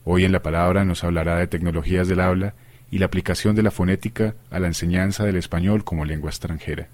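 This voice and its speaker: A man hosting a radio program, with a very low voice and a quick pace. He reads formal introductions and questions in a steady, composed way. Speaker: radio interviewer, host. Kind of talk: scripted radio interview questions.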